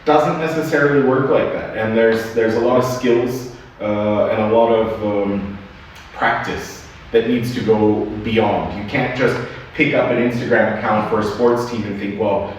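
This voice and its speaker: Man, livestream host, brisk and friendly, far from the mic, roomy echo, faint hiss. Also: fairly low pitch, steady tone. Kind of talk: livestream interview. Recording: echoey room from afar, faint noise.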